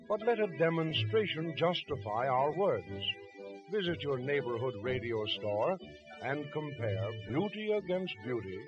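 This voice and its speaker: A man announcing a sponsored programme in formal, declamatory 1920s radio style. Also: medium pitch. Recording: muffled 1920s radio recording.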